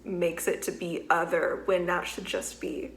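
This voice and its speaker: A woman in her twenties hosting a reaction video, chatty, laughing often and throwing in quick comments. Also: lowish voice, fairly even intonation, a little hiss or hum, poor audio.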